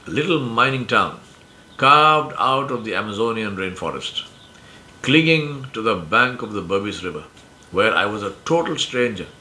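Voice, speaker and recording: An Indian man in his sixties whose first language is Urdu speaks in a measured, emphatic way. His voice sits a little low but rings bright and forceful. A faint rumble runs under the recording. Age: 60s. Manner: measured, emphatic, uses metaphors. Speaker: speaker sharing life lessons. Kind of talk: reflective monologue.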